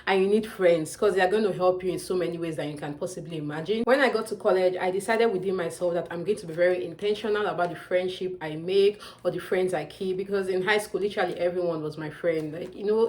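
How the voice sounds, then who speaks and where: Fast-talking Nigerian woman vlogger. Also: on the low side, darkish tone. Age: young adult. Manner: chatty, emphatic, often calls out "you guys". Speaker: student vlogger. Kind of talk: casual advice vlog to camera.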